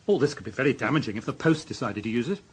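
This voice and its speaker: An actor playing an exasperated, authoritative deputy police chief with a British accent, giving stern, sarcastic reprimands in a radio drama. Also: male voice, medium pitch, expressive, racing, breathy edge. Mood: measured, grave.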